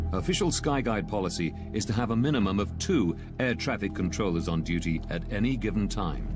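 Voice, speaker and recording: A man reading scripted documentary narration in a measured, serious manner. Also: fairly low pitch.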